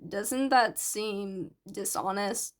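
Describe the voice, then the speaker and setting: Woman voicing a low, hesitant, soft-spoken teenage boy. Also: drawn-out words. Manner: hesitant, subdued. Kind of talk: audiobook character dialogue.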